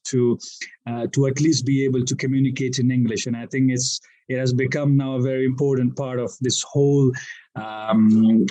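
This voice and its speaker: A man from Afghanistan, a business manager, speaking in a podcast interview with frequent pauses, fillers and restarts. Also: mid-range voice, darkish tone. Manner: hesitant, many fillers and restarts.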